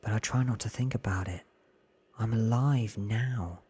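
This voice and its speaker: A man voicing a candid, careful, sickly young man in a hushed, earnest ASMR storybook reading. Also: on the low side, measured pace. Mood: candid, resigned. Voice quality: breathy.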